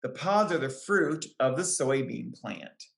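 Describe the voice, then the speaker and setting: A woman reading a picture book aloud to young children, with careful, deliberate phrasing. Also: rumbling low, animated, creaky. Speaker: children's book reader, extension educator. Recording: roomy, echoing sound.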